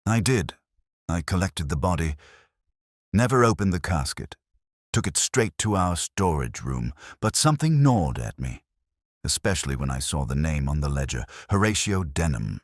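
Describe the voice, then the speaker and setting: A man reading the part of a guilt-burdened Victorian man in his forties, quiet and uneasy, in a story. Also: rumbling low, sing-song, moderate pace, no echo, studio quality, full character voice. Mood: guilty, confessing.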